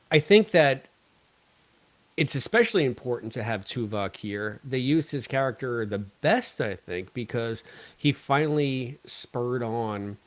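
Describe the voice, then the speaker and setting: A man with a mid-pitched voice talks as a podcast host. He is opinionated and talkative, working through his views in a casual review discussion. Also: poor audio.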